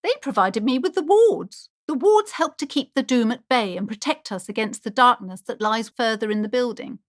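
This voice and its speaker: An actress playing an anxious, superstitious shopkeeper in a video game, speaking in a theatrical, formal and ominous way. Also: female voice, on the high side, expressive, normal speed, natural voice. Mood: ominous, earnest. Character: anxious, superstitious shopkeeper woman, dramatic and doom-laden. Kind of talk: video game character dialogue.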